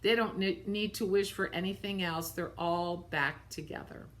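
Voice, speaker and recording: A woman's voice: on the low side, normal speed, a bit bright. A woman reading a picture book aloud to children, expressively, with simple explanatory asides about the pictures.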